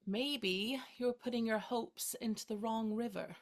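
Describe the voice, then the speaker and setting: An actress playing a playful, tender young woman in a play reading, gently teasing as she courts her friend. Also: fairly high pitch, unhurried. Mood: shy, tender. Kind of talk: two-hander stage play reading.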